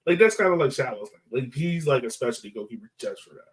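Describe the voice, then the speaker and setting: A man's voice: medium pitch, expressive, quick pace, low-quality recording. A man with a mid-range voice hosts a sports podcast. He talks casually and informally, working out his thoughts aloud as he goes. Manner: informal, conversational, thinks aloud.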